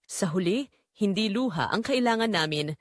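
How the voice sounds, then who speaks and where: A woman's voice: medium pitch, lively intonation, normal speed, no echo, clean studio sound, close to an everyday voice. A woman playing a dying, long-suffering mother, narrating her own audio drama in a solemn, pleading, tender way. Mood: pleading, sorrowful. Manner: solemn, sorrowful, pleading storytelling.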